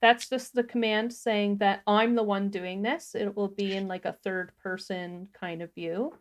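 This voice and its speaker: A woman co-hosting a livestream, explaining software step by step in an informal, casual tutorial style. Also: mid-range voice, fairly even intonation, unhurried, slight background noise. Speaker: livestream co-host demonstrating a tutorial.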